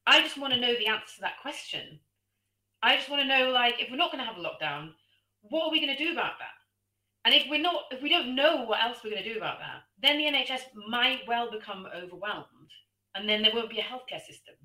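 Loud bright-voiced woman on a call. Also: on the high side, animated, fast talker, reverberant, low-quality recording. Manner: loud, chatty, filler-heavy. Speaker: guest commentator. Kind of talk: political discussion.

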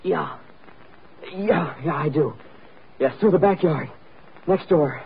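Exhausted, higher-voiced fugitive on old radio. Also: male voice, vocal fry. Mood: weary, halting. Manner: halting, pleading. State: exhausted, strained. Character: wounded, frightened young male fugitive, exhausted and desperate. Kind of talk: old-time radio drama acting. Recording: muffled, faintly noisy vintage radio recording.

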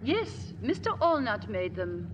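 A woman's voice: on the high side, sing-song, a little slow. An actress playing a proper, determined and devoted woman in a 1950s radio drama, earnest and emotional in her delivery. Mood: proudly composed. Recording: faintly noisy old radio recording.

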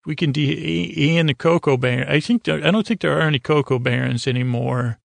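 Creaky-voiced man rambling at bedtime. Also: a little high, dry recording. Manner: meandering, halting, stammering repeats. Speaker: podcast host.

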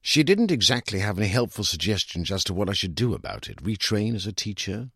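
A man reads literary fiction in the first person, his voice on the low side and slightly rough. The delivery swings widely, aggrieved and self-justifying with a dry edge. The recording is close and dry, with no room sound. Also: very animated, brisk, sharp tone, no room sound. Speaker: short story narrator.

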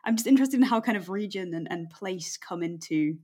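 A woman with a medium-pitched voice chats as an interviewer. She is engaged and tentative, rephrasing as she goes and building her questions out of loose observations. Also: expressive, fairly quick, mellow, slightly rough. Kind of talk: casual conversational interview.

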